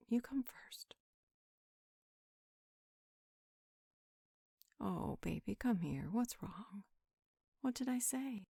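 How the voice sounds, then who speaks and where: A woman's voice: medium pitch, vocal fry, dark tone, husky, no echo, clean studio sound, exaggerated voice. A woman playing a nurturing, protective magical healer, speaking in a soothing, affectionate, informal way in a roleplay audio drama. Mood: concerned, tender.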